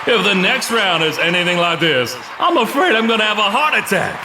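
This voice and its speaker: Animated, high-pitched sports announcer. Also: male voice, fairly quick, noisy background. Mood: astonished. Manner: dramatic, theatrical, booming exclamations. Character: excitable veteran male sports announcer calling a brawl. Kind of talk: excited play-by-play commentary. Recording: loud music and crowd behind.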